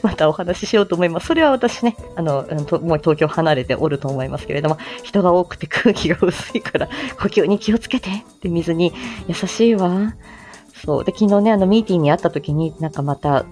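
Giggly low-pitched woman chatting over music. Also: expressive, a little fast, a little mellow. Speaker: online audio show host and voice performer. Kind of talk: casual morning livestream chat.

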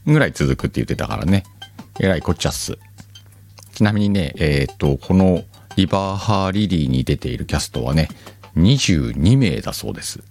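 A man hosting a casual podcast, with a low voice that swings up and down. He chats in a relaxed, joking, self-deprecating way. Soft background music plays faintly behind him. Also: fairly quick, creaky. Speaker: podcast host. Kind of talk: casual chat.

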